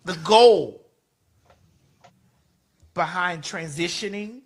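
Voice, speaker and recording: A woman's voice: lowish voice, extremely slow. A woman in her forties talking bluntly and emphatically in a casual podcast chat, repeating phrases for stress and swearing freely. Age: 40s.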